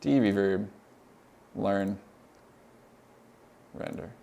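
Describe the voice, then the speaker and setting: A young man with a Californian accent, casually demonstrating audio software on a live stream, rambling excitedly with lots of "like" and laughs. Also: lowish voice, drawn-out words, vocal fry, a little hiss or hum. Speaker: audio mentor.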